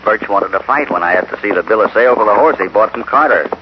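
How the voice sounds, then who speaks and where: A man playing a steady, wry cowboy hero with calm authority in a 1950 radio Western. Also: lowish voice, fast, natural voice. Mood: wry, relaxed. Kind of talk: 1950s radio Western acting. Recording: scratchy, muffled 1950 radio broadcast, loud hiss.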